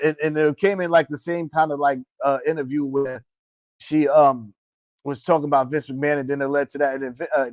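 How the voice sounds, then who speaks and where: A man's voice: fairly high pitch, no echo, rough recording. A man co-hosting a casual wrestling podcast, talking bluntly with heavy slang and constant cursing. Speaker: podcast co-host. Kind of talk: casual profane chat about pro wrestling.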